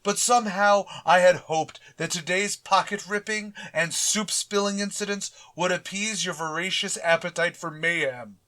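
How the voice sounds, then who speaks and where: A man acts out a pompous middle-aged principal from a radio sitcom. He speaks in florid, deliberate sentences loaded with sarcasm. The voice is bright and forceful. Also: high voice. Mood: exasperated, restrained. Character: pompous, long-suffering middle-aged male high school principal. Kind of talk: old-time radio sitcom acting.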